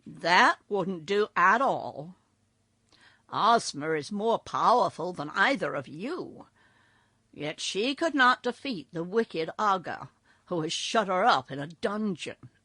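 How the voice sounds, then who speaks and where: A woman voicing a wise, commanding old male wizard in a read-aloud storybook, measured and emphatic. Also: fairly low pitch, expressive, bright, forceful tone, dry recording, heavily acted. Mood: firm.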